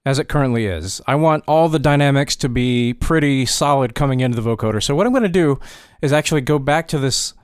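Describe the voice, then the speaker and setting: Male, smooth clear voice, dry close recording, casual tutorial narration, wry asides. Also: medium pitch, fast, dry recording, soft background music. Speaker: tutorial presenter.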